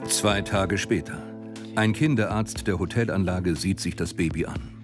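A man delivers scripted documentary narration in a fairly low voice. The telling is dramatic, built for a medical story, with music clearly playing behind him. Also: normal speed. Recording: prominent music behind the voice-over. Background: music bed.

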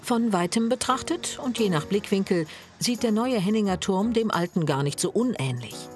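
A woman reading scripted voice-over narration for a TV report in a measured way. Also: mid-range voice, animated, darkish tone, soft background music.

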